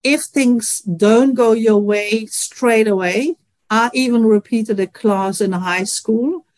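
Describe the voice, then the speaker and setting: A woman's voice: a little high, takes it slowly, a little mellow, dry recording, rough recording. Middle-aged Dutch woman, dry sound. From Netherlands. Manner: earnest, reflective, many "you know" fillers. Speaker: guest, engineering professor. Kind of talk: radio interview answers.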